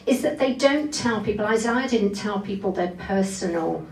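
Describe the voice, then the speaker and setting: A woman's voice: mid-range voice, lively intonation, moderate pace, gravelly, darkish tone. A woman giving an expository Bible sermon, explaining carefully and leaning on key phrases. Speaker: preacher giving a Bible talk. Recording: echoey room, distant microphone.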